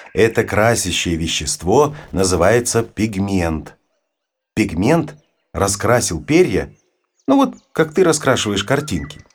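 Husky, low-voiced male children's narrator. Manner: storytelling, poses questions to the listener. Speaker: audiobook narrator. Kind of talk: expressive scripted educational narration for children.

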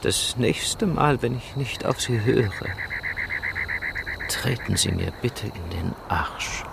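An actor playing a stubborn, pompous gentleman adventurer, self-assured and clipped until he stammers, in an audio drama. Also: lowish voice, animated, takes it slowly, gravelly, very noisy, full character voice. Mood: weary, rueful. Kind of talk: musical audio drama acting. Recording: music under the voice.

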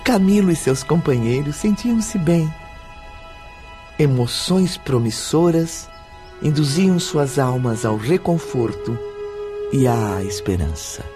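Narrator of a spiritual radio play, solemn but really expressive, music playing strong behind him. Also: male voice, a little high, slow pace. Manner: literary, expressive. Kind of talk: scripted dramatic narration. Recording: music under the voice.

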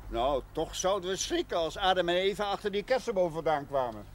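Casting: learned, teasing schoolteacher, lively intonation, fairly high voice, old TV drama. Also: male voice, fairly quick. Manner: formal, dryly witty. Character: learned, genial village schoolmaster, gently teasing. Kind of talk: television period drama acting. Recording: noisy old TV soundtrack.

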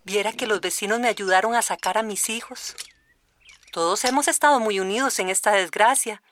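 A woman's voice: medium pitch, moderate pace, a bit bright, slight background noise, close to an everyday voice. An actress playing a grateful village mother who survived a disaster, in radio drama testimony. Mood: grateful, earnest.

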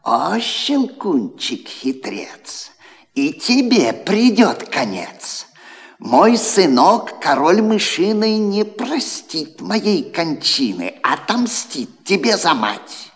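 A man's voice: sits high, sing-song, slow pace, voice pushed up, full character voice. A man voicing a dying, vengeful mouse queen who squeals a curse theatrically in a fairy-tale audio play. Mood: spiteful, vengeful. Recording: long hall reverb.

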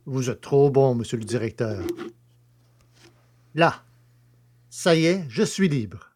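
An actor playing a good-natured, cheeky country poacher in an audio comedy, artless and deferential yet sly. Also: male voice, mid-range voice, expressive, slow pace, darkish tone, a little hiss or hum. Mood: artless, amused. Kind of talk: French radio comedy acting.

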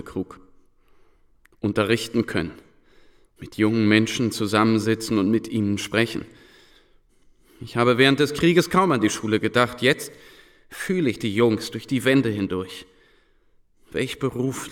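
Actor as earnest, subdued war-veteran teacher. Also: male voice, fairly low pitch, close to an everyday voice. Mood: earnest, wistful. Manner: reflective, halting, solemn. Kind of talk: intimate radio drama acting.

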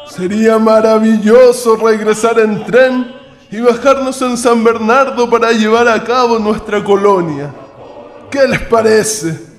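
An actor playing an earnest, idealistic colonist in a radio drama, speaking in solemn, declamatory oratory. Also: male voice, extremely high pitch. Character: Augusto, earnest idealistic male colonist dreaming of a utopian commune. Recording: echoey reverberant sound with music behind.

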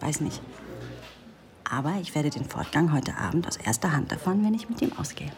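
An actress playing a cheeky young student in a radio drama, telling gossip briskly and mimicking others. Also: female voice, deep voice, very animated, crowd noise. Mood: coy, teasing. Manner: brisk storyteller, mimicking gruff quotes. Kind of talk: German radio drama acting.

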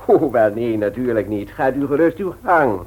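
A man's voice: mid-range voice, animated, moderate pace, darkish tone, lightly acted. Fussy, over-polite bookkeeper with swooping intonation played by a man. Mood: deferential. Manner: formal, deferential, repeats himself. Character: stiff, fussy, over-polite male bookkeeper, awkward small-talker. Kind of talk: Dutch radio play acting. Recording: thin, phone-like, noisy old radio recording.

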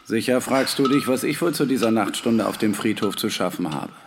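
An actor playing a chummy, eerie old gravedigger in a radio play, speaking in folksy, insinuating clipped phrases with tag questions. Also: male voice, on the low side, a little fast, close to an everyday voice. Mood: chummy, uncanny. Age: older. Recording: loud music behind.

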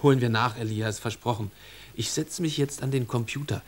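A man's voice: medium pitch, a little fast, close to an everyday voice. An actor playing a busy, everyday father in a children's radio drama, talking in a natural conversational way. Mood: puzzled, conciliatory.

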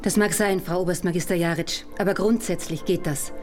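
An actress playing an Austrian lawyer who speaks formally and legalistically, with an Austrian accent. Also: female voice, lowish voice, steady tone, brisk, music in the background, lightly acted. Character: precise Austrian woman lawyer.

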